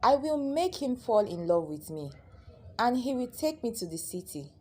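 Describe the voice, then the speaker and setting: A woman's voice: on the high side, very animated, moderate pace, warm tone, voice pushed up. A woman voicing a vain, cruel young village beauty in a folktale, with a mocking, haughty manner. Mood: haughty, determined.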